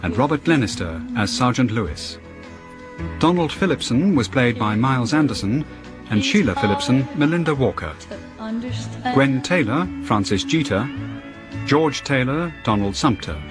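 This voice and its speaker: A male announcer gives the closing credits of a radio play in a crisp, formal delivery. His pitch moves with lively rises and falls, and clear music plays under him. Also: moderate pace. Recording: read over music.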